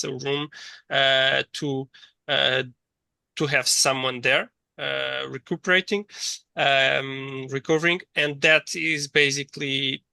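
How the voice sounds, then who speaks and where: Bright, dry-voiced man lecturing, thinking aloud. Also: mid-range voice, gravelly, no echo. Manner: hesitant, thinking aloud with repeated words. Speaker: course lecturer. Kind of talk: interactive online teaching, reading chat replies.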